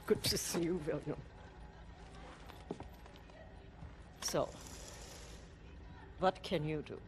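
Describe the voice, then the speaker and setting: An actress playing a battle-hardened resistance leader in a video game cutscene, blunt, dry and commanding. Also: female voice, low-pitched, a little slow, background noise. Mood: dry, wry.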